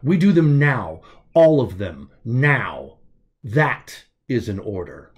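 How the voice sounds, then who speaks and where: A man's voice: mid-range voice, sing-song, slow, husky, dull audio, exaggerated voice. Male reader as commanding officer, terse, authoritative, short clipped phrases, audiobook character. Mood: stern, commanding. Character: commanding male senior officer regaining his memory.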